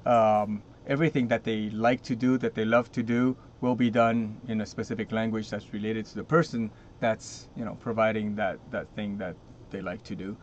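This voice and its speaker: A man's voice: lowish voice, fairly even intonation, normal speed, darkish tone. A man hosting an interview, talking casually with many fillers and restarts. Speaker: interview host. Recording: dull lo-fi line with faint noise.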